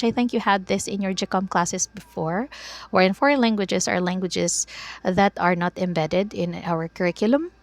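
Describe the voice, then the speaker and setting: A woman's voice: lowish voice, vocal fry, dry recording. A woman from the Philippines with a Filipino English accent, lecturing on teaching English and filling her talk with "uh" and "okay" checks. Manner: explanatory, frequent fillers and restarts, checks in with "okay". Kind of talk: recorded video lecture.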